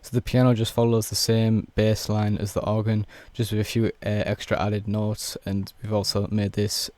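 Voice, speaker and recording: A young man with a hoarse, worn voice, casually walking through a music production tutorial step by step with plenty of fillers. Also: lowish voice, monotone, normal speed, darkish tone, no room sound. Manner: laid-back, explains step by step with many fillers. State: hoarse, losing his voice.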